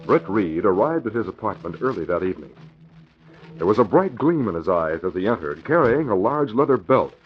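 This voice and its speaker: An actor serving as the formal, measured narrator of a 1940s radio crime serial. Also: male voice, on the low side, very animated, over music. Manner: measured, formal announcer delivery. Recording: muffled vintage radio recording.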